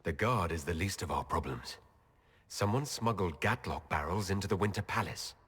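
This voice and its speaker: A low-voiced, earnest elven leader. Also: male voice, expressive, moderate pace, a bit bright, slightly rough, heavily acted. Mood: serious, inquiring. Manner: direct, questioning, serious. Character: earnest male elf leader, commanding and diplomatic. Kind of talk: video game voice acting.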